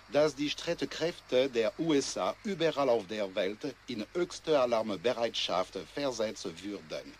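Grave male commentator, faint noise. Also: medium pitch. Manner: measured, grave. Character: sober, worried male news commentator. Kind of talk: news commentary in a radio play.

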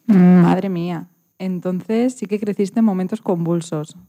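Very mellow-voiced Spanish woman interviewer. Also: on the low side, steady tone, a little slow. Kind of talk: informal interview.

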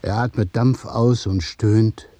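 A man narrating a literary radio play in measured, observational short phrases. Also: on the low side, steady tone, dark tone, a little hiss or hum.

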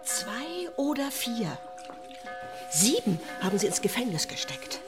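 Emphatic gossiping convent housekeeper. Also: female voice, a little high, very animated, unhurried, loud music behind the voice. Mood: gossipy, dramatic. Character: gossiping convent housekeeper woman bringing grim news. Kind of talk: German radio play acting.

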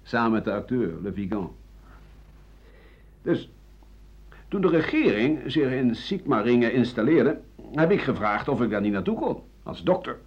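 An aggrieved older doctor, bitter and defiant, in a radio play monologue. His husky voice swings widely between low and heated tones. The recording is a dull old radio broadcast. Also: very animated, normal speed, long pauses. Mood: weary, bitter. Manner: aggrieved, emphatic monologue with scornful chuckles. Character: embittered older French doctor-writer accused of treason, defiant.

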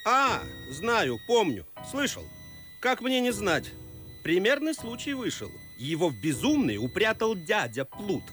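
An actor playing an excitable, lying society gossip with theatrical delivery in a radio comedy. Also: male voice, high voice, unhurried, soft background music, heavily acted. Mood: gleefully gossiping. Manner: animated, theatrical. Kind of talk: classic stage comedy acting for radio.

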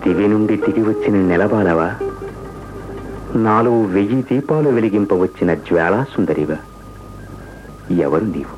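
An actor playing an imperious, poetic young prince in a radio play, speaking in a declamatory manner. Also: male voice, on the low side, animated, normal speed, pauses often, dull audio, music in the background, natural voice. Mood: rapt, romantic.

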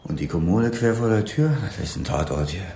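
An actor playing a practical, firm police officer in a radio crime drama, speaking in clipped phrases. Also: male voice, sits low, expressive, brisk, dark tone, slight background noise. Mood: urgent.

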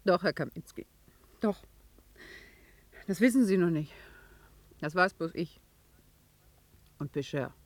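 A woman's voice: fairly low pitch, lively intonation, slow pace, pauses often, dry recording. An actress playing a shy, guilt-ridden young office woman, earnest and emotional, in a 1950s German radio play. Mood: subdued confession.

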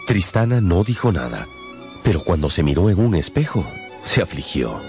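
A man narrating a literary radio drama as a storyteller, with dramatic pauses and emphasis. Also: low-pitched, big pitch swings, darkish tone. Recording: music behind the voice.

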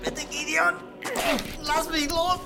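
A man's voice: very high voice, level tone, slow, gravelly, over loud music, full character voice. A young actor in a German audio drama playing an anxious, pious monk who mutters under his breath and pleads with strain. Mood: desperate pleading. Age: young adult.

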